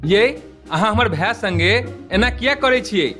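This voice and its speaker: A man's voice: sits high, normal speed, bright, forceful tone. A man voicing an impatient, easily irritated village husband in a cartoon comedy, scolding and sarcastic. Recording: music behind the voice.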